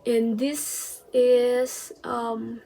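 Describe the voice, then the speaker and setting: A young woman vlogging, telling a personal story casually, hesitant and full of restarts, dropping into soft whispered asides. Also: fairly high pitch, little pitch movement, drawn-out words, gravelly.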